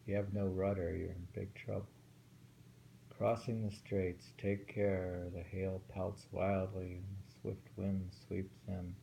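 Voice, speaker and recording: A man's voice: low voice, level tone, unhurried, creaky, warm tone, a little hiss or hum, poor audio. A middle-aged man reading translated poems aloud and musing about them in a casual, thinking-aloud way, often rereading lines. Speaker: poetry reader.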